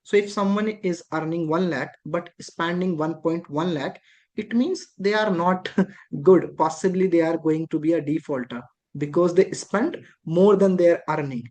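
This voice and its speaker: Instructor, patient and repetitive, mid pitch. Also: darkish tone. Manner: patient, repetitive explaining with frequent rhetorical questions. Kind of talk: explaining a lesson.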